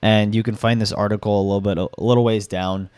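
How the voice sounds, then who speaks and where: A man's voice: on the low side, steady tone, quick pace, a little mellow, clear, resonant tone, no room sound. A young man who has been sick and sounds stuffed up, giving casual gaming commentary with rambling fillers and restarts. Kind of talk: casual commentary with article reading.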